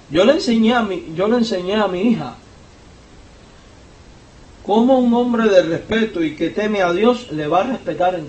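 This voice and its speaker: A pastor with a Cuban accent preaches in a high, emphatic voice, pushing up in pitch and volume whenever he stresses a point. He sits back from the microphone, so his words arrive from a distance. The church hall around him rings with echo. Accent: Cuban Spanish. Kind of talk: sermon. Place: church sanctuary. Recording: echoey church hall, voice from far off.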